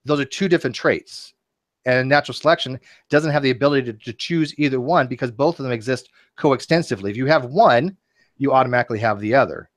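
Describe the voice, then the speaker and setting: A man's voice: mid-range voice, fast, no room sound, clean studio sound. A man in a casual philosophical discussion, explaining his points argumentatively as a guest debater.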